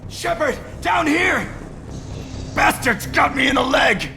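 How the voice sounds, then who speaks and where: An actor playing a shifty, irritable, self-serving technician in a sci-fi video game, defensive and curt. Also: male voice, very high voice, lively intonation, slow, vocal fry, shouting, music in the background, heavily acted. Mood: pained, yelling.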